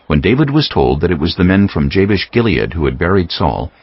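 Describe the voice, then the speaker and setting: Deep, gravelly male scripture narrator. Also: big pitch swings. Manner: measured, solemn storytelling. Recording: dull lo-fi audio with music.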